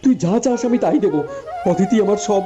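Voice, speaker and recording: A man's voice: high voice, a little fast, muffled recording, close to an everyday voice. A man voicing a greedy young husband. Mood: desperate. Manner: boastful, then pleading and tearful. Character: young husband turned greedy by golden touch. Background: background music.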